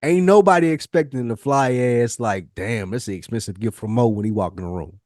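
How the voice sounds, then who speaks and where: A man's voice: mid-range voice, brisk, a little mellow, no echo, clean studio sound. A male podcast co-host chatting and debating casually in a slangy, profane, insistent way.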